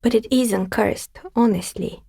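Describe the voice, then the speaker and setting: An actress voicing a dry, sceptical woman dicemaker in a video game, with a soft, breathy, husky voice and a wry, understated delivery. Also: on the high side, measured pace, no room sound, exaggerated voice. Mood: wry, insistent. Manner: wry, understated, matter-of-fact. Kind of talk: video game character dialogue.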